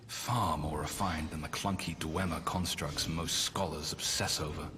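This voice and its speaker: A low-voiced, bookish fantasy scholar-engineer acted by a man, brooding and frustrated in his obsession with ancient machines. His articulate game-character lines ring in a long hall reverb over loud background noise. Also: fairly even intonation, unhurried, full character voice. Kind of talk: dramatic video game character voice acting.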